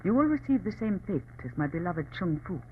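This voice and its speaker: An actress playing a proud, impassioned Chinese woman revolutionary in an old radio drama, formal and imperious, with a husky voice. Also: on the low side, sing-song, brisk, slight background noise. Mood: cool, imperious. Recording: muffled old radio recording.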